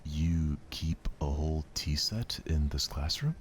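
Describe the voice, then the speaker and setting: A man's voice: rumbling low, animated, unhurried, a little hiss or hum. A man voicing an ordinary, awkward teenage schoolboy in a visual novel, casual and hesitant. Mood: casual, unsure.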